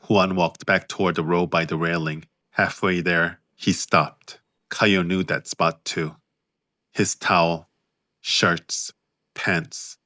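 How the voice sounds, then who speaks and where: A man narrating his own audiobook in a measured, understated way. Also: sits low, sharp tone, dry recording, clean studio sound. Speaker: author and narrator.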